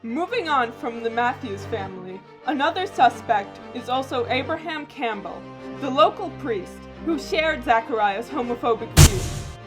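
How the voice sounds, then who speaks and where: A woman's voice: on the high side, animated, unhurried. A woman reading scripted true crime narration in a matter-of-fact storytelling way. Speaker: narrator and host of a mock true crime series. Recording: music behind the voice. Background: background music.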